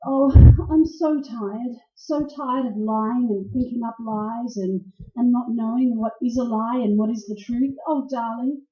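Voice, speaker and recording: A woman's voice: fairly high pitch, steady tone, unhurried, highs cut off, natural voice. Woman playing a melodramatic noir femme fatale, echoey. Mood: pleading, seductive. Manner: melodramatic, pleading. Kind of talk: radio play acting, noir melodrama. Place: live radio play performance in a roomy space.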